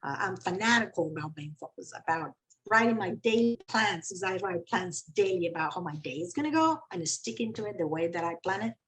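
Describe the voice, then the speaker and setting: A woman speaks on a business panel, sitting a little low in her range. She talks earnestly and emphatically, pressing hard on key words with big swings in pitch and volume. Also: lively intonation, a little fast, poor audio. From Colombia. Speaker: panelist, company CEO. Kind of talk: panel discussion talk.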